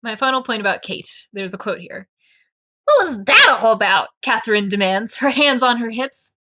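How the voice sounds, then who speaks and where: A woman's voice: on the high side, sing-song, normal speed, sharp tone. A woman hosting a podcast, discussing a book casually and reading out quotes with an opinionated, analytical manner. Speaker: podcast host.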